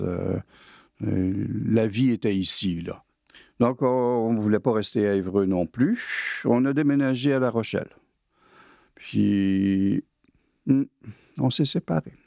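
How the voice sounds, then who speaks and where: A man in his late sixties from Quebec, with a Québécois French accent, telling his own life story casually with many pauses and fillers. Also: lowish voice, gravelly, darkish tone, no echo, studio quality. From Quebec, Canada. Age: 60s. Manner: hesitant, many fillers and restarts. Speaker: interviewee.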